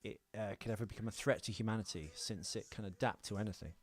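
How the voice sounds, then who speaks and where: A man's voice: on the low side, fast talker. A man co-hosting a film podcast in casual banter, with a dry, joking, pedantic manner.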